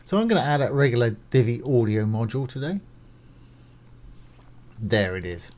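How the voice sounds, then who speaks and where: A man's voice: medium pitch, big pitch swings, normal speed, darkish tone. A man presenting a casual step-by-step screencast tutorial, informal and reassuring as he explains each step while doing it. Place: voice-over of a screen recording.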